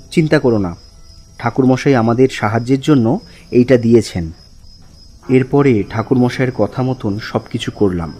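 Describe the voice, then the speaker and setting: A man's voice: medium pitch, dark tone, slightly rough. A man playing an anxious husband who narrates his own haunting in a horror audio drama, uneasy and dramatic. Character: anxious married male narrator, haunted follower of the tantric. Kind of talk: first-person horror story narration with acted dialogue. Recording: music under the voice.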